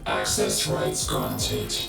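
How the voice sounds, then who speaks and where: A man voicing an ancient, emotionless machine spirit in a video game, speaking in slow, clipped, monotone announcements. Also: very deep voice, full character voice. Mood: cold, emotionless. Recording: echoing, distant, loud music behind.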